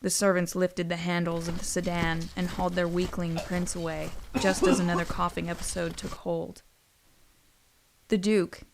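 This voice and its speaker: A woman narrates a dramatized fantasy story from a script. Her voice sits on the low side for a woman. It has a gravelly, creaky edge. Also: poor audio.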